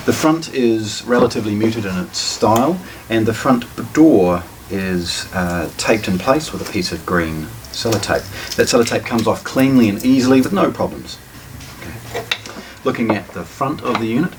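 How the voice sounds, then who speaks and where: A man with a lowish voice gives an unscripted product walkthrough. He is matter-of-fact and a little hesitant as he explains. The recording sounds distant from the microphone, with some noise behind him. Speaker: product presenter. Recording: distant mic, noticeable background noise.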